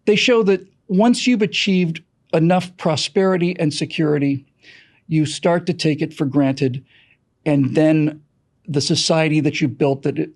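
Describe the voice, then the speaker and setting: A man's voice: fairly high pitch, a little mellow. An American man giving earnest, off-the-cuff commentary as a host, talking emphatically in long, discursive explanations. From United States. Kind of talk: earnest extemporaneous political and historical commentary.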